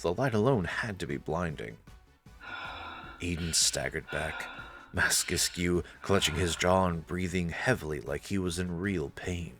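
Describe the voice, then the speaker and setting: A man with a low voice narrates a visual novel. His delivery is scripted, dramatic storytelling, with soft music underneath.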